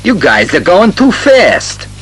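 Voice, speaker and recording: A man's voice: high voice, wildly swooping pitch, a bit bright, soft background music, full character voice. An actor playing a dim, eager, bumbling male police sergeant as puzzled comic relief in an audio drama. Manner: slow-witted, puzzled, chummy.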